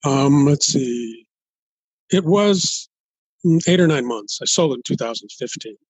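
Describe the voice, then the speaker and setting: Older man, husky voice, thoughtful and halting. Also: medium pitch, animated, dry recording, poor audio. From United States, Los Angeles. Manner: halting, thoughtful, builds points step by step. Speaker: guest author. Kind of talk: interview conversation.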